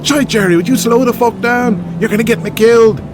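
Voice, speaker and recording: A man's voice: very high voice, fairly quick. A man voicing a crude, boastful Irish wrestler in an audio drama, rowdy and foul-mouthed, with a music bed behind. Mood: alarmed.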